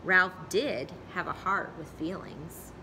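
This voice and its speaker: A woman storyteller reading a children's story aloud in an expressive narrating style. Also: lowish voice, slow pace, background noise.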